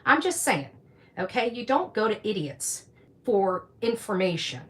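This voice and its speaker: A woman's voice: mid-range voice, very animated, unhurried. A woman in her fifties talking combatively and dismissively in a livestream rant, swearing with heavy emphasis. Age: 50s.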